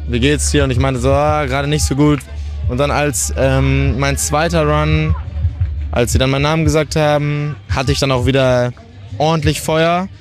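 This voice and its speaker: A man's voice: on the high side, normal speed, soft background music. A nineteen-year-old man, raised in Portugal, speaking in an interview about skating with frequent restarts. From Germany, raised in Portugal. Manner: relaxed, hesitant with restarts. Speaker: skateboarder.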